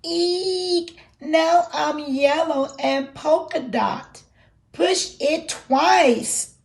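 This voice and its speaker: A silly, excitable little male monster from a picture book, acted out by a woman reading aloud. The voice is fairly high and very slow, with exaggerated, drawn-out exclamations and a mischievous streak meant for small children. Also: heavily acted. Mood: excited, alarmed.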